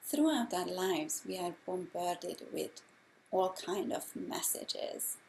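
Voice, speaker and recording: Middle-aged hungarian lady, breathwork guide, calm measured talk, faint hiss behind. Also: fairly low pitch, gravelly, rough recording. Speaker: breathwork instructor.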